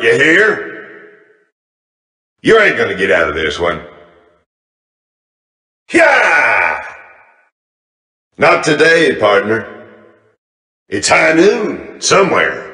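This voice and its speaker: An actor playing a cocky, menacing Old West gunslinger with a Southern American cowboy drawl, delivering theatrical video game lines. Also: male voice, on the low side, sharp tone, echoey room, big-room sound, exaggerated voice. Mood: fired-up.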